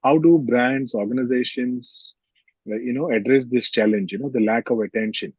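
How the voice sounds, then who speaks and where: A man hosting an interview, asking questions in a polite, measured, formal way. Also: medium pitch, a little mellow, slightly rough, no room sound, muffled recording. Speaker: interview host.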